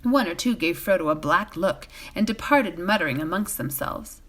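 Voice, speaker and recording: Expressive woman reading a bedtime story. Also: lowish voice, moderate pace. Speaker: volunteer story reader.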